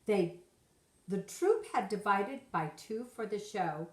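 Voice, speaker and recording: A woman's voice: medium pitch, lively intonation, slow pace. A woman reading a rhyming children's story aloud expressively, like a teacher to young pupils. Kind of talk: expressive storybook narration in rhyme. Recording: roomy echo.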